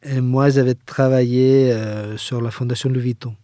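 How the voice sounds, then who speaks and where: Mellow Spanish-accented man in interview. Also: medium pitch, level tone, normal speed, no echo, clean studio sound. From Valencia area, Spain. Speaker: interviewee, engineer and architecture firm co-founder. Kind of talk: conversational interview answers.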